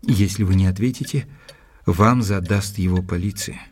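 An actor playing an earnest doctor who narrates his investigation in an audio drama, with a measured, probing delivery. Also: low-pitched, a little mellow, no echo. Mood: insistent questioning.

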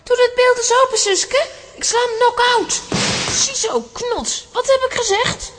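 A woman's voice: very high voice, a little slow, a bit bright. A woman playing a bold, spirited young girl adventurer in a children's audio drama, eager and assertive, with a Flemish accent. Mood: excited, bossy.

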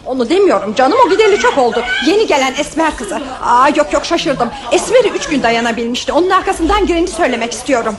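An actress playing an excitable, nosy wife and neighbour in a radio play, fussy and exclaiming. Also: female voice, high voice, fast talker, hardly any pauses. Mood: agitated, gossipy. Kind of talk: Turkish radio theatre acting. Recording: noisy old radio drama recording.

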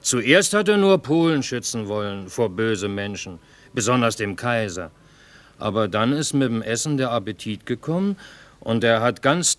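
Wry, cynical army cook. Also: male voice, mid-range voice, fairly bright tone. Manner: dry, ironic, measured. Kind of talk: theatrical radio drama acting.

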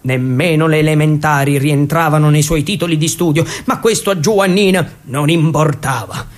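Male stage storyteller, high-pitched voice, dramatic and wry delivery with weighty pauses. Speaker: actor-narrator. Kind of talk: theatrical solo storytelling.

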